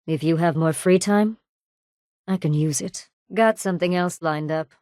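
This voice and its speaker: Actress as ruthless raider woman, slightly low pitch, cool commanding delivery, sardonic, video game dialogue, dry studio sound. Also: normal speed, a little mellow, dry recording, lightly acted. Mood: cool, businesslike. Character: ruthless female raider gang leader, sardonic and menacing.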